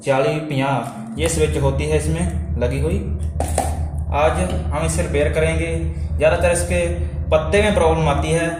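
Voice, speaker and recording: A man's voice: on the high side, steady tone, normal speed. A man presenting an informal hands-on repair tutorial, explaining step by step and repeating himself as he goes. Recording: very echoey room, faint background noise.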